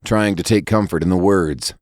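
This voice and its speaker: A man reading scripted fiction as a narrator, in a deliberate, expressive storytelling manner. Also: no room sound, clean studio sound. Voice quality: low-pitched voice. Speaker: story reader and podcast narrator.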